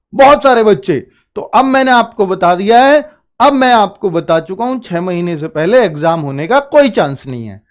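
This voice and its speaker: High-pitched male coaching teacher. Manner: instructive, asks questions and answers them himself; repeats points for emphasis. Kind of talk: informal explanatory talk to students.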